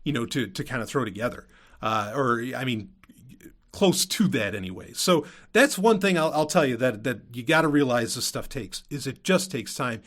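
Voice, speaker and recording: A man's voice: mid-range voice, lively intonation, normal speed, fairly bright tone. A man hosting a podcast alone in casual, rambling talk, often circling back to repeat himself and using informal, mildly profane language. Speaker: podcast host. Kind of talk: casual solo chat to listeners.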